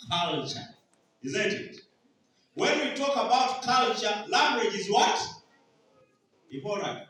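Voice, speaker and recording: A Ugandan man preaches with a high, bright voice and slow, measured delivery. He keeps quizzing the congregation to complete his lines. His voice rings through a very echoey hall, heard from some distance off the mic. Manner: call-and-response questions to the congregation. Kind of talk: sermon.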